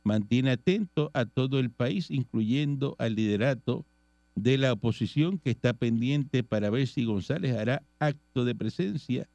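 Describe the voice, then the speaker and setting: A man's voice: mid-range voice, normal speed, gravelly, warm tone, dry recording. A Puerto Rican man hosting a casual radio talk show, reading political news and commenting on it in conversation. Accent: Puerto Rican Spanish. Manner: reads news items and comments on them conversationally.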